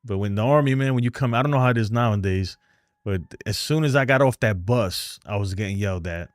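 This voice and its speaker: A man's voice: fairly low pitch, fast talker, dry recording, studio quality. A man with a New York accent hosting a fan live stream, chatting loosely and rambling as he answers viewer comments. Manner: rambling, talkative, loose and frank.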